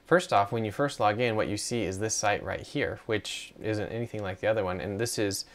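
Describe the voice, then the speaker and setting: A middle-aged man narrates an on-screen walkthrough. His voice sits on the low side. He talks casually and conversationally, with some hesitation as he explains things. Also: brisk. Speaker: tutorial presenter.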